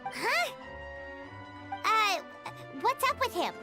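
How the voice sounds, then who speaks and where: Tiny squeaky fairy sidekick from a game, super high n bouncy, excitable teasing, loud music under it. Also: female voice, extremely slow, exaggerated voice. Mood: chatty, curious. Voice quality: squeaky, childlike. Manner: refers to self in third person, exclamatory. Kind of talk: video game character acting. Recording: background music throughout.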